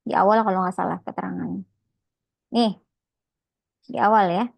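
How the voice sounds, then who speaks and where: A woman's voice: mid-range voice, fairly even intonation, normal speed, gravelly, dry recording. A woman lecturing informally on accounting step by step, conversational and often checking in with short tags.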